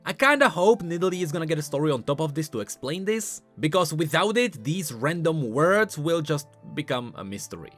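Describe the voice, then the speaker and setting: Higher-pitched, animated male gaming commentator. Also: a little fast, hardly any pauses, faint music behind. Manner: emphatic, explanatory. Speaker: video game lore YouTuber. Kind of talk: scripted commentary on game voice lines.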